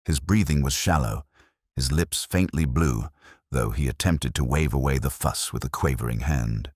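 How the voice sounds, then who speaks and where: A man narrating a scripted mystery story in a measured, formal literary way. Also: rumbling low, darkish tone, husky, dry recording, clean studio sound. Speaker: audiobook narrator.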